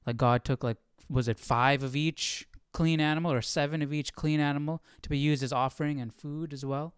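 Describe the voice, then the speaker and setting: A man's voice: on the high side, steady tone, moderate pace, clear, resonant tone, no room sound, clean studio sound. A man casually hosting a live Bible study, reading and musing aloud with informal, questioning commentary. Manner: informal, questioning, thinking out loud.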